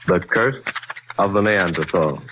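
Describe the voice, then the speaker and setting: A man's voice: deep voice, unhurried. Crackly 1940s American radio announcer, male. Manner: formal, dramatic.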